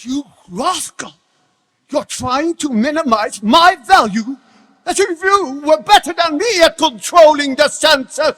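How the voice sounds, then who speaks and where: An arrogant demon king in a staged dramatic reading. His very high voice swings wildly from menacing hush to imperious roar, ringing through a long hall reverb. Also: expressive, slow, exaggerated voice. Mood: scornful, threatening. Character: enraged tyrannical demon king, arrogant father.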